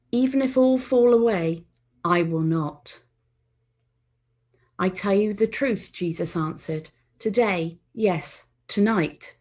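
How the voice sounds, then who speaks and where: A woman reading a Bible passage in a worship service in a measured, solemn way. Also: mid-range voice, darkish tone. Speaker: scripture reader.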